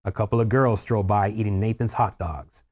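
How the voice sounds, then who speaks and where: A man's voice: lowish voice, steady tone, moderate pace, a little mellow. A man narrating a screenplay, reading out scene headings and stage directions as scripted narration.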